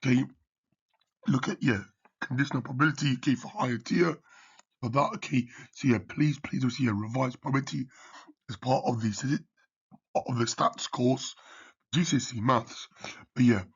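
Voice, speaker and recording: A man working through a maths exam question as a tutor, his voice croaky from partly losing it, peppering his talk with "okay" and "yeah". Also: medium pitch, moderate pace, long pauses, highs cut off. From United Kingdom. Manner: repeats "okay" and "yeah" often. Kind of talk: exam revision walkthrough.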